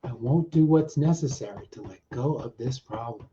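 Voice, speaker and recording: A man with a very dark, mellow voice of medium pitch guides a self-help exercise as a coach. He speaks in short, deliberate phrases, often repeated, with pauses between them. The recording is dull and lo-fi. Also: a little hiss or hum. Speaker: coach leading a tapping exercise. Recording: dull, muffled lo-fi audio.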